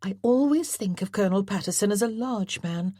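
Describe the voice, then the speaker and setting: A narrator voicing a young woman who murmurs wry, quiet remarks. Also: medium pitch, expressive, brisk, slightly rough, no echo, clean studio sound, pitched above the performer's own voice. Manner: murmured asides.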